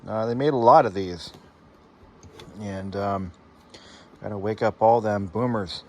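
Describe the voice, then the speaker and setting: A man's voice: fairly low pitch, unhurried, slight background noise. A man hosting a hobby repair channel, talking casually to viewers in a rambling, wry way with sarcastic asides.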